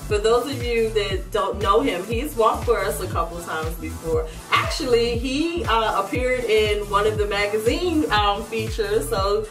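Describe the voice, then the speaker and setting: A woman's voice: mid-range voice, expressive, measured pace, hardly any pauses. A woman hosting a fashion talk show, chatty and friendly, laughing easily as she interviews a guest. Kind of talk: casual interview. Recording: echoey room, far from mic, music behind.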